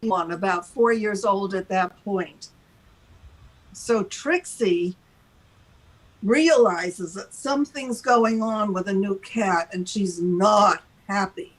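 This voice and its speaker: An older woman telling an anecdote casually, in a deliberate and emphatic manner, as a talk show host. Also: mid-range voice, poor audio. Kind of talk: casual storytelling.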